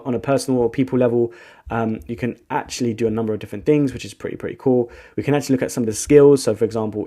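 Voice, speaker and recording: A man walking viewers through a software tool in a casual screen-share tutorial, thinking out loud with frequent restarts. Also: mid-range voice. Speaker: agency founder giving a software tutorial.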